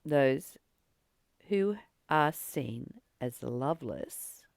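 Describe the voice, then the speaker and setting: Spiritual study host: very slow, gravelly delivery, earnest and deliberate, reflective reading with personal commentary. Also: mid-range voice, animated, dry recording, clean studio sound. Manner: deliberate, earnest, with long pauses between short phrases.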